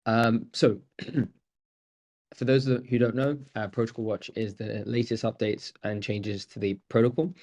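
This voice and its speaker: A man hosting a community call, talking casually with frequent laughs and restarts. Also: lowish voice. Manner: relaxed, chuckling, hesitant restarts. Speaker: host. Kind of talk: casual chat. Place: video call.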